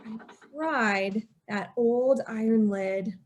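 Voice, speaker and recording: An actress plays a distraught wife in an old-time radio horror drama. Her voice is high and she speaks slowly. She sounds secretive and haunted, repeating words for dramatic weight. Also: a little hiss or hum. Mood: hushed dread. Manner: dramatic, emphatic repetition, haunted confessional telling.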